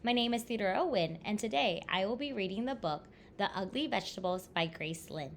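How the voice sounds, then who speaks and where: A woman reading a children's picture book aloud expressively, with deliberate phrasing and stressed words. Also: medium pitch, expressive, slight background noise. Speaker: storybook reader.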